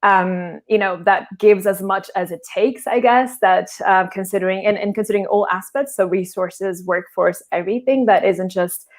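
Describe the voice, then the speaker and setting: A woman from Portugal speaks as an interview guest over a remote call, with a medium, everyday pitch. She explains her work in a friendly, casual way and often restarts her phrases. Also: steady tone, normal speed, few pauses.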